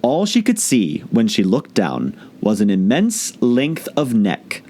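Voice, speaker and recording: A man narrates a storybook in a medium-pitched voice. His delivery is expressive and theatrical, with intonation that rises and falls widely as he reads. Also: takes it slowly. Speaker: audiobook reader.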